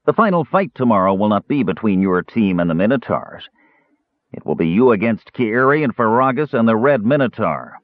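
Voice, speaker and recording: A man voicing a shrill, scheming male dwarf slave-owner who snarls, sneers and cackles. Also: sing-song, moderate pace, no echo. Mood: gloating. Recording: dry, phone-like narrow band.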